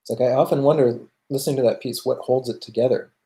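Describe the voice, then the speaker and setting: A man with a Canadian accent answering viewer questions in casual livestream talk, thoughtful and halting as he goes. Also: medium pitch, fairly even intonation, warm tone. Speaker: music channel host answering viewer questions.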